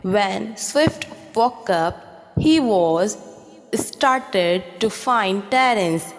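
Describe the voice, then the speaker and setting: A woman reading scripted stories for children like a teacher, pronouncing each word deliberately and clearly. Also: medium pitch, expressive. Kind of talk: scripted story narration for children. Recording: long reverb, music behind. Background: music.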